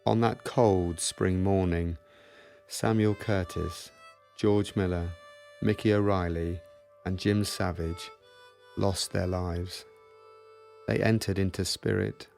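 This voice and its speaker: A man giving solemn, deliberate, gravelly dramatic narration of a war tragedy for a radio play. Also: sits low, steady tone, darkish tone, soft background music, full character voice.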